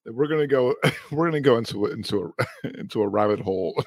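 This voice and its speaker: A man's voice: medium pitch, expressive, normal speed, vocal fry, a little mellow, husky. A man co-hosting a podcast in casual chat, laughing often and trailing off into restarts. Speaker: podcast co-host.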